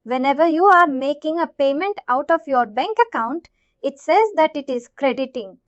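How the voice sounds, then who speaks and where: A woman with an Indian accent and a high voice works through an informal software lesson. She repeats her points and checks that learners keep up. The sound is dry and slightly dull, like lo-fi online class audio. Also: lively intonation, moderate pace, dry recording. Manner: repetitive, checks that listeners follow. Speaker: software instructor. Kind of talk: informal live tutorial explanation.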